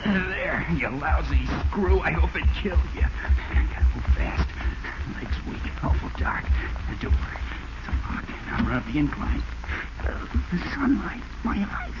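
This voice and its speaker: A man's voice: fairly high pitch, very animated, a little slow. A man playing a desperate, vicious escaping convict, panicked and breathless, in a 1940s radio drama. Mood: frantic, savage. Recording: dull old radio recording under loud music.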